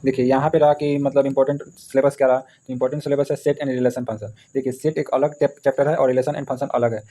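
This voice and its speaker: A man teaches in an informal way, as for an exam class. His voice is soft-edged and mellow at a medium pitch. He talks quickly, repeating points and checking in often as he explains. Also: little pitch movement. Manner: repetitive, explanatory, punctuated with check-in tags. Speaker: maths teacher. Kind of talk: informal exam syllabus lesson.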